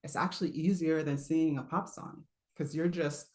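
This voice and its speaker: A relaxed woman with a low voice coaches singers in a live online class. She chats easily, laughs often and breaks off to demonstrate sounds. The recording has a roomy echo around her. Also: moderate pace. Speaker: voice teacher. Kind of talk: live online singing lesson.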